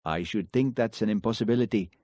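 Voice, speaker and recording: A man's voice: lowish voice, quick pace, warm tone, dry recording, studio quality, pitched above the performer's own voice, lightly acted. A man playing a polite, fussing shopkeeper. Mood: courteous. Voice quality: courteous, mildly formal. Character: polite male shopkeeper, courteous and helpful. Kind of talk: audiobook character voice.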